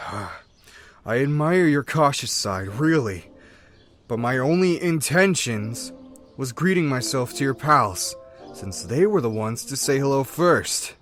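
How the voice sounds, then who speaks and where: Man voicing a young rat drifter, laid-back, friendly, lively intonation. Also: mid-range voice, slow pace, faint music behind. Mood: persuasive. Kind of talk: fan comic dub.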